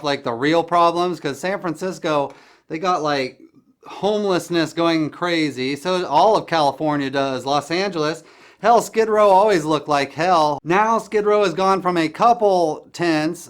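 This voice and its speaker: A man's voice: on the high side, fairly bright tone. A man chatting casually about current events as a host, blunt and opinionated, in a rambling, dismissive way. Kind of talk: casual political chat over the phone.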